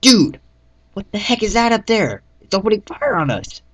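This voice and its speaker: Man voicing a loud, panicked pony soldier, pitched up. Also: big pitch swings, normal speed, exaggerated voice. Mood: alarmed. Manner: loud, alarmed, incredulous. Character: panicked young male pony soldier shouting at danger. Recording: dull lo-fi mic in echoey room.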